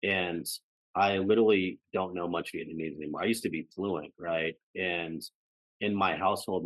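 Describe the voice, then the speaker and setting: An American man with a deep voice talks in a personal, reflective conversation as a podcast guest. The sound is dry, with little room on it. He often closes his phrases with small tags asking for agreement. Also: no echo, low-quality recording. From United States. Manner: frequently ends phrases seeking agreement with "right" and "you know".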